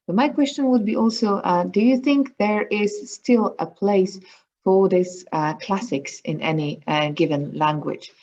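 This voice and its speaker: A woman's voice: mid-range voice, measured pace, a little mellow, highs cut off. A woman moderating an online conference Q&A over a video call, speaking hesitantly with many fillers.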